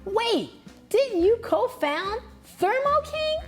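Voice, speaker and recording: A woman voicing a curious, excited young schoolboy in a picture book, eager and questioning. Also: very high-pitched, slow pace, soft background music, pitched above the performer's own voice, exaggerated voice. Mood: excited, surprised.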